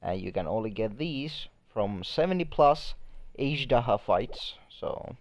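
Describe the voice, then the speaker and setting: A man's voice: medium pitch, animated, measured pace, dry recording. A man giving casual, offhand gameplay commentary as a streamer, crude and often trailing off. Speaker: game streamer.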